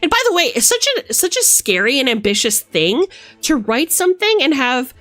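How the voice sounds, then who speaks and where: A woman's voice: high-pitched, big pitch swings, normal speed, a bit bright, no echo. A woman streamer and game master delivering a casual, opinionated rant, emphatic, theatrical and sweary, close on the microphone. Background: music.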